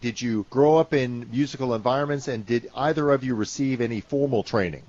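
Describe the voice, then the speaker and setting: A man hosting a podcast, putting interview questions to his guests in a clear, formal way. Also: medium pitch, a little fast, darkish tone. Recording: dry, dull lo-fi sound.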